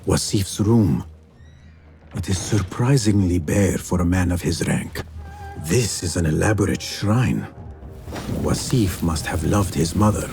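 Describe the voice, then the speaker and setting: A calm, formal assassin. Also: male voice, low voice, a little slow, gravelly. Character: male assassin hero, young adult, resolute. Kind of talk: video game cutscene acting. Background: game music score.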